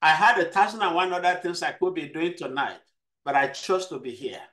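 A man with a South Texas accent speaks in an emphatic, motivational way, hammering home key phrases by repeating them. His voice sits a little high for a man. It is picked up through a video call. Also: a little fast, fairly bright tone. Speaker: club member giving a speech. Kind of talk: motivational club speech.

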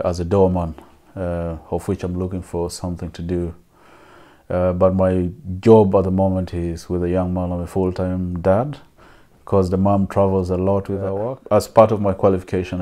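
A man in his thirties with a Ugandan accent, speaking softly and reflectively in an interview from his living room. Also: sits low. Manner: soft-spoken, reflective, with fillers. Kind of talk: personal interview answers.

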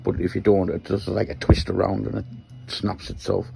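A man from Newcastle with a Geordie accent and a croaky voice, casually talking through his workshop project while filming on a handheld phone in a small shed. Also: low-pitched, flat delivery, moderate pace, background noise. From Newcastle, England. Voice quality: croaky, gravelly voice. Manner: rambling, explains while handling parts. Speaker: maker vlogger.

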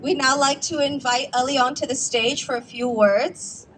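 A woman emcee makes brisk, upbeat stage announcements in a high voice. Her voice carries over a PA at an outdoor park event. Clear outdoor noise surrounds her. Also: fairly even intonation.

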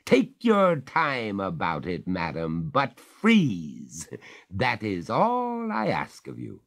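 A stern master of the house answers with measured, icy courtesy, as a man reading the story plays him. He speaks slowly and with a lively rise and fall, firm and ironic. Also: fairly low pitch, vocal fry, voice pushed up, heavily acted.

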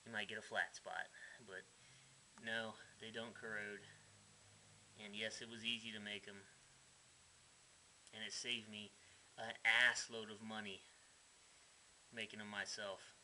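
A man's voice: lowish voice, flat delivery, measured pace, pauses often, sharp tone, slight background noise, rough recording. Blunt folksy man in his workshop. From United States. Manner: blunt, folksy, mildly profane. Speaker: hobbyist builder. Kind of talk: casual workshop walkthrough. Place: dim garage workshop.

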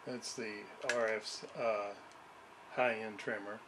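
A man giving a casual hands-on demonstration, haltingly thinking aloud as he works. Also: on the low side, level tone, background noise. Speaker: radio restoration hobbyist presenter. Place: small indoor home workshop.

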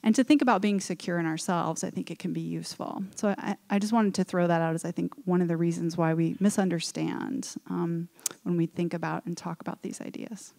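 A woman's voice: lowish voice, steady tone, a little fast, creaky, darkish tone. A woman giving earnest, thoughtful remarks as a forum panelist at a podium microphone on a stage. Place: stage in a dark auditorium. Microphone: gooseneck podium mic.

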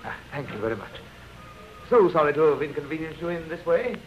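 Man acting an apologetic hotel manager, fast polite hedging, evasive, lighter voice, muffled 1930s radio drama. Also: on the high side, lively intonation, music in the background, close to an everyday voice. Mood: awkwardly polite. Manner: hesitant, smooth politeness. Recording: dull 1930s radio recording.

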